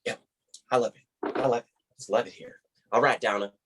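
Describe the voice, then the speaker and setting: A man's voice: mid-range voice, fairly even intonation, a little fast, noisy background, lightly acted. A man with an American accent playing a folksy, effusive, rambling boss on a conference call in a comedy web series.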